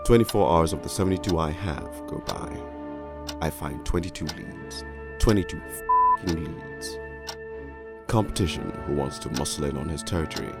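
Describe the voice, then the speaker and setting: A man plays a hard-boiled, corrupt detective narrating a noir storybook. The voice is extremely low and thick with gravel, brooding and deliberate in its cynicism. Music runs clearly behind it. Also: lively intonation, heavily acted. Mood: weary, bitter.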